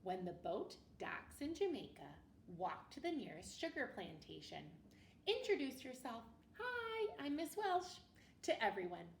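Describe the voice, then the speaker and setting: A woman reads a children's picture book aloud like a schoolteacher, at an unhurried pace with big swoops in pitch. She is expressive and breaks off to put questions to her young listeners. The sound is roomy, as if the microphone sits some way off. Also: gravelly. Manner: animated, expressive, pausing to ask the listeners questions. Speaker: elementary school teacher. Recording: roomy, distant mic with faint noise.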